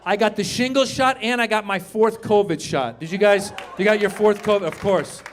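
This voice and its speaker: A man in his fifties doing a stand-up comedy set on a club stage, joking with the crowd in a loud, animated way and swearing freely. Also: high voice, normal speed, a little hiss or hum. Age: 50s. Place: comedy club stage before a live audience.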